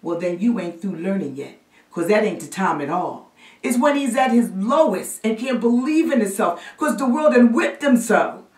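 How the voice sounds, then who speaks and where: A woman's voice: mid-range voice, expressive, normal speed, darkish tone, voice pushed up, lightly acted. A woman playing a stern, loving matriarch reproaching a grown child in emphatic vernacular in a stage drama. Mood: reproachful, anguished. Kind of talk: classic stage drama monologue. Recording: roomy echo, distant microphone.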